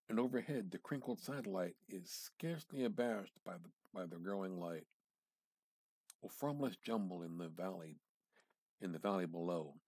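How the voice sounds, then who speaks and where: A man reads literary fiction aloud at a very slow pace, leaning into dramatic pauses. His voice is fairly low and noticeably husky. The delivery is deliberate and intense. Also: a little mellow, dry recording. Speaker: amateur reader.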